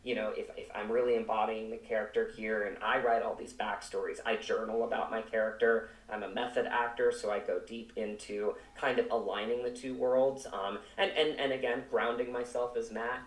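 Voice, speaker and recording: Male interview guest, actor. Low voice, earnest and emphatic, conversational, picked up from a distance with room echo. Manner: reflective, emphatic, circling back over phrases. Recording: roomy echo, distant microphone.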